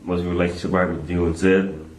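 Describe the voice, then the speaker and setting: A male interrogator speaks quickly in a fairly low voice. He probes informally with short follow-up questions. The recording is echoey, with faint noise. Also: little pitch movement, darkish tone. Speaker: police interrogator. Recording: roomy, noisy police interview recording.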